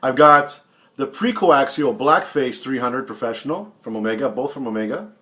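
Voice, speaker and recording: A man's voice: medium pitch, moderate pace, bright, forceful tone. A man in his late forties answering another vlogger's questions in a casual, blunt, heavily profane and taunting way, often repeating himself for emphasis. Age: 40s. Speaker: YouTube watch channel host.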